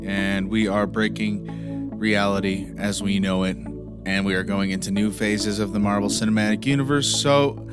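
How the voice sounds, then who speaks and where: A man with a raspy voice hosts a podcast intro, rambling with lots of ums and uhs. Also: fairly low pitch, fairly even intonation, normal speed, over music. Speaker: podcast host.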